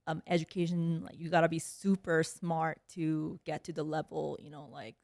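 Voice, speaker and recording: A woman's voice: sits low, level tone, moderate pace, vocal fry, darkish tone, no echo, studio quality. A young woman from South Korea, a guest in a casual interview, talking freely with lots of "like" and "um" fillers and frequent laughter. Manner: chatty, many "like" and "um" fillers, laughs often.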